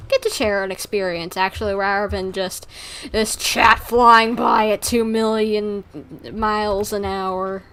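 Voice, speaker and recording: A woman's voice: medium pitch, steady tone, unhurried, hardly any pauses, a bit bright, clean tone. Woman, mid-range voice, casual livestream chatter, informal, rambling, easily sidetracked. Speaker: live streamer. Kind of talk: casual livestream chat with viewers.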